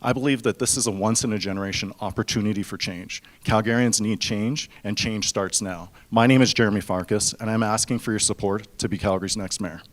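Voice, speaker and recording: Young canadian guy, kinda low voice, earnest stump speech at a forum. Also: fairly even intonation, fairly quick, hardly any pauses. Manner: earnest, personal storytelling with occasional restarts. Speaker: mayoral candidate.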